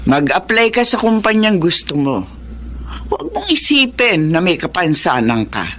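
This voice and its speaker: A woman's voice: fairly low pitch, moderate pace, a little hiss or hum. A woman playing a doting, encouraging old grandmother in a Filipino radio drama, with a reassuring manner. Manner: animated, reassuring.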